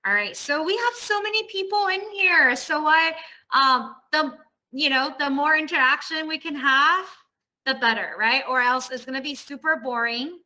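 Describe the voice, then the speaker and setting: A woman's voice: high voice, normal speed, pushed and bright, low-quality recording. A woman professor teaching an online class in a casual, conversational way, explaining things and often checking for agreement, heard over a video call. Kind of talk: casual, conversational lesson to students.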